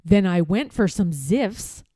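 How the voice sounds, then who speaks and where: A woman reading a rhyming children's storybook aloud in an expressive, playful way, with deliberate and emphatic phrasing. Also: mid-range voice, no room sound, clean studio sound.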